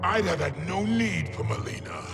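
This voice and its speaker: A man's voice: sits high, big pitch swings, normal speed, gravelly, far from the mic, exaggerated voice. A man playing a huge, cruel, boastful warlord emperor in a video game, declaiming bombastically. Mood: scornful. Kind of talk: cinematic video game villain acting. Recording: loud music and crowd noise behind.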